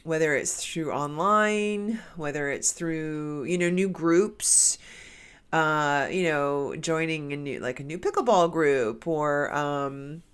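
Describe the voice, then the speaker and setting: A woman's voice: sits low, slow, smooth. A woman doing a casual spiritual card reading, her nose stuffed up from a cold, talking in a meandering way with many restarts. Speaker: tarot card reader.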